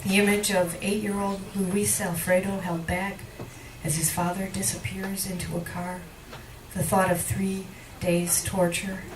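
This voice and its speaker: A woman giving a live poetry reading in measured, deliberate phrases with emphatic line breaks, recorded indoors at a cafe. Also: on the low side. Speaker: poet, featured reader at a poetry reading. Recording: roomy, distant live recording with faint noise.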